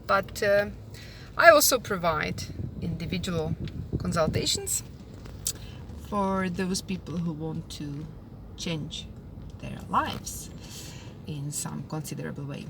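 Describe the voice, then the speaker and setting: A woman in her thirties with a Czech accent speaks slowly and thoughtfully, pausing often with fillers. She stands some way from the mic outdoors, and loud road traffic runs underneath her voice. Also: fairly low pitch, animated, gravelly, recorded from a distance. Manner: unhurried, thoughtful, many fillers and pauses. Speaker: tour guide and language teacher. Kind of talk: casual talk to camera.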